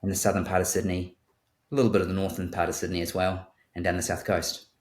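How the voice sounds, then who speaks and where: Man, New Zealand accent, very deep, thoughtful, restarts sentences, roomy echo. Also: level tone, fast, a little hiss or hum. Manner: thoughtful, repeats words when starting sentences. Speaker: guest surgeon. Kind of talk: conversational interview.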